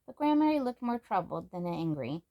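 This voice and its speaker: A woman reading a children's storybook aloud as an expressive narrator. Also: on the low side, normal speed, muffled recording. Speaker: storybook reader.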